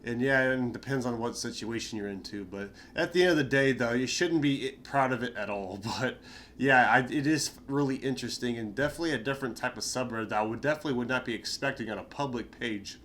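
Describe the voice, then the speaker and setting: A man's voice: medium pitch, quick pace, a bit bright, slight background noise. Young male YouTuber, nasal from a cold, tired, casual slangy rambler. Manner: rambling, slangy, with frequent fillers and chuckles. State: nasal, stuffy nose from a cold. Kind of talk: casual commentary on reddit stories.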